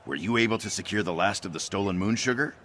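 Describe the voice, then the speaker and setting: A man's voice: fairly low pitch, fast, fairly bright tone, slight background noise, close to an everyday voice. A man playing a cheerful, ambitious young orc trader in scripted video game dialogue. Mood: delighted.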